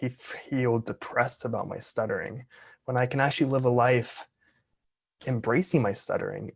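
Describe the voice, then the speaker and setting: A man's voice: mid-range voice, vocal fry. A man in his twenties, an interview guest, who talks openly and earnestly while stuttering with repeated sounds and blocks. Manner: open, earnest. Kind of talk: casual interview talk.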